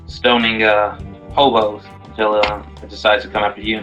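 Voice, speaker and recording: A man's voice: lowish voice, little pitch movement, moderate pace, muffled recording, music in the background. A man playing a tabletop roleplaying game, talking casually and bluntly as he improvises.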